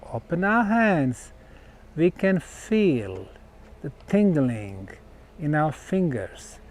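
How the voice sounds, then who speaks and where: A man gives an explanatory talk as a researcher on location, speaking deliberately in short phrases with pauses. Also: a little high, a little mellow. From Bosnia. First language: Bosnian. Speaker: researcher presenting a site.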